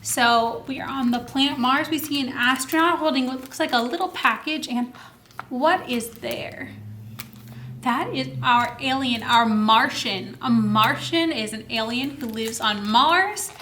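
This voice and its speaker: A woman hosting story time as a children's librarian, teacherly and animated, explaining a picture book to young children with questions. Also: high-pitched, measured pace, slight background noise. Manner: animated, teacherly, encouraging participation.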